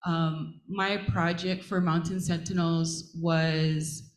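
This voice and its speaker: A woman from Hawaii speaking as a panelist and assistant professor on a conference stage, earnest and warm, with frequent pauses and fillers. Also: fairly low pitch, level tone. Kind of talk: panel talk to a live audience. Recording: roomy hall echo.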